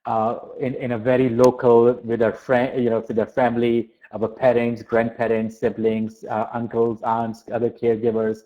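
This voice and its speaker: A man who grew up speaking Urdu and English, giving an informal academic webinar lecture as a professor, haltingly, often repeating words and restarting phrases. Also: medium pitch, monotone. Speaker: university professor of applied linguistics giving a webinar. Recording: dull, lo-fi webinar audio.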